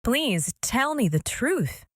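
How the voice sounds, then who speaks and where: A woman's voice: fairly high pitch, sing-song, slow, dry recording, studio quality, exaggerated voice. Woman doing a worried wife begging for the truth. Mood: pleading. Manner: pleading. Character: worried pleading female wife.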